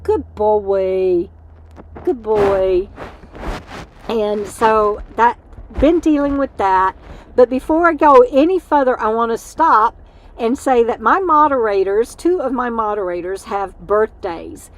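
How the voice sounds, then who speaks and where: Middle-aged woman, tired livestream host, rambly and warm-hearted, fairly high voice. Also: animated, slow, poor audio. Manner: rambling, chatty, talks to her dog mid-stream. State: tired from lack of sleep, voice fading. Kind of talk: casual livestream chat. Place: inside an RV.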